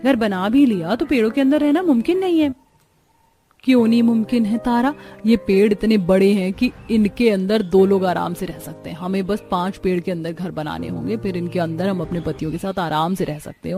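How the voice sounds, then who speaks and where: A woman playing a young village daughter-in-law in a cartoon story, expressive and chatty. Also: mid-range voice, natural voice. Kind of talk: Hindi cartoon story dialogue.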